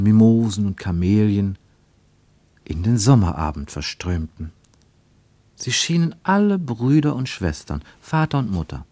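A man narrating a radio-play travelogue in a measured, expressive storytelling manner. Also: medium pitch, dry recording.

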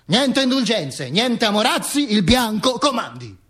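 Dead dictator telling his own macabre tale, radio play, husky highish voice, pompous n sardonic, pitch all over the place. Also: sing-song, a bit bright, heavily acted. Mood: cold, contemptuous. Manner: declamatory, halting, with hesitant stammers and repeats. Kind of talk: theatrical radio monologue.

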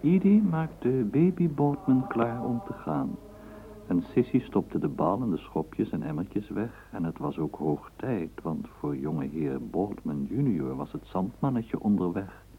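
An actor narrating a literary radio play as a measured, lyrical male storyteller. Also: lowish voice, animated. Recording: muffled, noisy old radio play recording.

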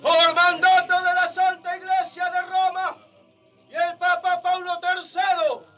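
An actor playing a formal messenger friar in a radio drama, solemnly proclaiming a letter, heard at a distance from the mic. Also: male voice, very high-pitched, completely flat delivery, measured pace, pushed and bright, lo-fi sound, soft background music, heavily acted.

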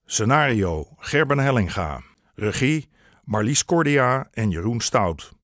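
A man's voice: medium pitch, sing-song, measured pace, a bit bright, no room sound, studio quality. A man reading scripted closing credits as a broadcast announcer.

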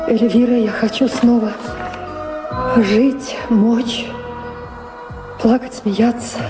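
A woman's voice: fairly high pitch, steady tone, takes it slowly. An actress playing an anguished middle-aged noblewoman in a radio drama, speaking lyrically and with emotional weight. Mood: stunned, reading aloud. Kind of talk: theatrical radio drama acting. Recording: echoey hall reverb, music behind, radio play.

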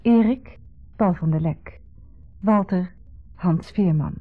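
Woman announcer reading credits slowly and formally, tinny old radio sound, faint music behind. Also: on the low side. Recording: narrow, muffled 1970s radio recording.

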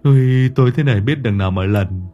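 A man's voice: deep voice, expressive, moderate pace, slightly rough, muffled recording, faint music behind. A man voicing a cowardly chubby young man who stammers and whines. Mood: frightened. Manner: stammering, whining.

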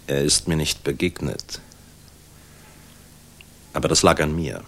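Weary middle-aged general, extremely low pitch, husky, solemn, rhetorical, 1960s radio play. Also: male voice, a bit bright, full character voice. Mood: confessional. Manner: measured, solemn, reflective, rhetorical. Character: world-weary, grave Luftwaffe general, middle-aged man.

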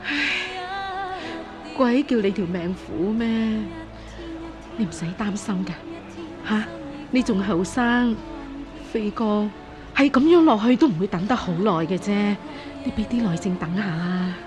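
A woman with a Hong Kong Cantonese accent acting a gentle, resigned middle-aged foster mother in a radio drama, sighing and soothing as she speaks. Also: mid-range voice, measured pace, pauses often, music in the background, natural voice.

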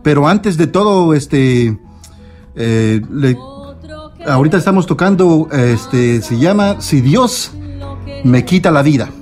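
A man's voice: on the high side, lively intonation, slow, a little mellow, soft background music. A man hosting a Spanish-language radio show, interviewing a guest with many fillers and restarts. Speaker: radio host.

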